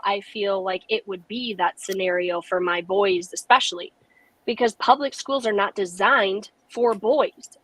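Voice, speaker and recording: A woman's voice: mid-range voice, dry recording, poor audio. A woman panel guest giving a frank, opinionated take in casual podcast talk. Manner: frank, opinionated, trails off softly.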